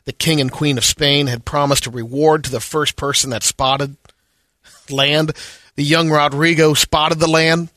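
A man co-hosting a radio show, reading news items in a steady, matter-of-fact way. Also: a little high, measured pace, no room sound.

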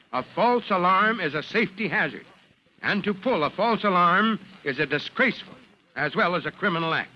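A man acting a gruff, commanding but caring fire chief in an old-time radio drama, with a barking, authoritative delivery. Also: high voice, dull audio. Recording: noisy old radio recording.

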